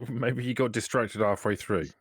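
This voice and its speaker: A man's voice: mid-range voice, steady tone, moderate pace, husky. A man playing a tabletop game, bantering casually in a teasing way.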